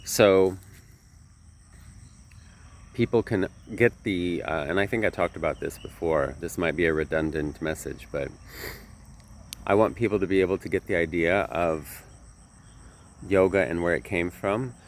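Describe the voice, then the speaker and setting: A man's voice: low-pitched, measured pace, long pauses, slight background noise. A man who teaches yoga, talking casually to camera, a little nasal from a sinus infection, earnest and rambling, often correcting himself.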